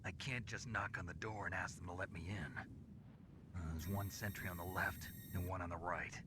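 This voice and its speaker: An actor playing a cool, hard-boiled special forces agent in a video game, dry and sardonic in delivery. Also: male voice, steady tone, moderate pace, lightly acted. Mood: matter-of-fact. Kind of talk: scripted video game voice acting. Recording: clearly noisy game audio with low rumble.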